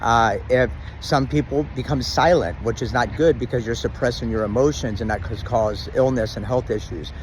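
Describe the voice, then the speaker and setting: A middle-aged man giving relationship advice in a casual, rambling talk to camera, full of restarts and ums, in a loud and dominant voice. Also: medium pitch, normal speed, a little hiss or hum, rough recording. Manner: says he has a loud, dominant voice.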